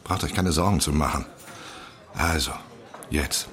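An actor in a radio drama playing a brash, cynical friend who gives blunt, sweary, mocking advice. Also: male voice, a bass voice, measured pace, fairly bright tone, exaggerated voice. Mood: probing. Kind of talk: naturalistic radio drama acting.

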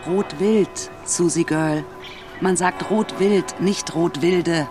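An actress playing a chatty, brash woman who works in fast food, animated and teasing, in a radio drama. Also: fairly low pitch, music in the background. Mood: teasing, musing. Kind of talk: naturalistic radio drama acting.

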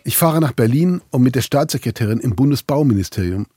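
A man's voice: mid-range voice, lively intonation, quick pace, background noise. A man narrating a scripted investigative podcast as its reporter and host.

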